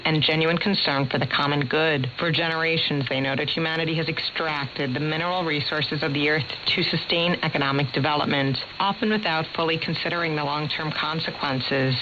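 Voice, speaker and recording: A woman delivering a scripted radio news report as a reporter. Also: low-pitched. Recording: muffled shortwave radio with clear static.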